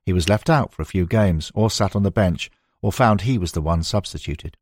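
Male narrator for a sports biography audiobook; deep register, scripted read, dry studio-tight sound. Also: normal speed, a little mellow, slightly rough, no room sound. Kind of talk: scripted narration of a football biography with quoted recollections.

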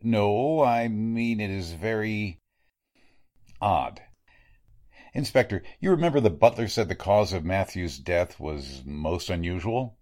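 A man plays an educated, perplexed veteran coroner in an old-time radio drama. His voice is fairly deep and highly animated in pitch. He talks formally and emphatically, with gruff authority. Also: slow pace. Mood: grave, perplexed. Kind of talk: 1940s radio drama acting.

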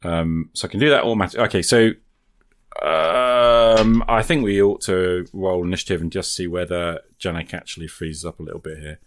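A man's voice: deep voice, brisk, dry recording. A man thinking aloud good-humouredly as he plays an improvised tabletop role-playing game in casual conversation.

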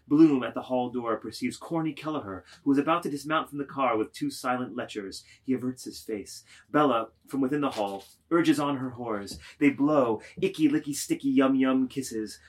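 A man narrating a literary drama, reading stage directions and lists with deliberate, emphatic phrasing. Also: mid-range voice.

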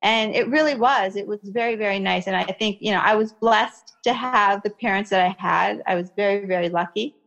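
A woman's voice: mid-range voice, brisk, creaky, few pauses, a bit bright, highs cut off. Woman explaining skincare, "you know" often. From Iraqi family, raised in America. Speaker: skincare expert guest. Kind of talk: conversational interview, explaining.

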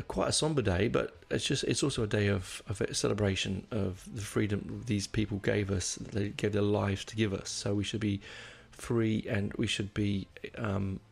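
A low-voiced man with a gravelly, husky croak from a lingering cold chats loosely as a podcast host. He rambles along with plenty of ums and restarts, while faint music plays behind him. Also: darkish tone. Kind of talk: casual podcast chat.

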